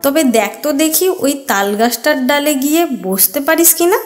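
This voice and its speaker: A woman voicing a small, protective mother bird in a children's cartoon story, with a sing-song, drawn-out delivery. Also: sits high, lively intonation, faint music behind. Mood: encouraging. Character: small protective mother tailorbird, sweet-talking and defiant. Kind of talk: children's cartoon story dialogue in rhyme and speech.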